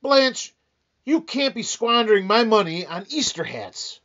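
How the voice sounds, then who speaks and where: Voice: mid-range voice, sing-song, measured pace, a bit bright. An actor playing a weary, sarcastic, penny-pinching husband in an old-time radio comedy, grumbling and blustering wryly. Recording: dull, lo-fi band-limited sound.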